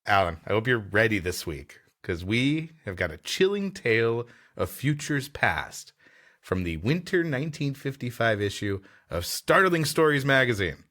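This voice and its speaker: A man's voice: mid-range voice, very animated, moderate pace, a bit bright. A man co-hosting a comedy podcast, bantering with his partner and reading stories aloud playfully. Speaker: podcast co-host.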